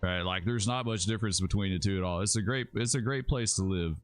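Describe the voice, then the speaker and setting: A low-voiced man with a relaxed drawl chats through a game live stream at his home setup. He rambles easily, calling viewers dude and bro, with faint music under his voice. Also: fast, no room sound. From Alabama, USA. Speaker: livestreaming gamer host. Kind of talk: casual live-stream commentary.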